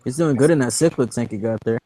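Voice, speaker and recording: A man's voice: mid-range voice, quick pace, warm tone, dry recording, low-quality recording. A man joining a live stream, asking questions and chatting casually. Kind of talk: casual conversation.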